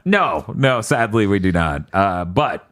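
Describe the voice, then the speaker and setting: A man co-hosting a casual gaming podcast, animated and swearing as he tells stories. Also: on the low side, unhurried. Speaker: podcast co-host.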